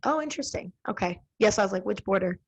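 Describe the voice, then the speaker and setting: A woman's voice: mid-range voice, lively intonation, fast, husky. An American woman hosting a podcast, chatting casually, rambling and laughing often. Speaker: podcast host. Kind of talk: casual chat.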